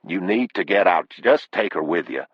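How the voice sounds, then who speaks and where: A man's voice: deep voice, expressive, normal speed, husky, dry recording, dull audio. A man playing a stubborn, guarded working man from the American South, speaking tersely in an audiobook. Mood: terse insistence.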